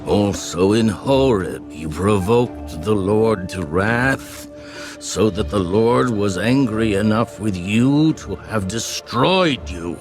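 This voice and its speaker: A solemn elderly prophet in an audio Bible drama. His voice is deep and he speaks extremely slowly, weighing each phrase like a formal oration. Clear music plays behind him as he gravely reproves his people. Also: animated. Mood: stern, reproving. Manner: grave, deliberate, oratorical.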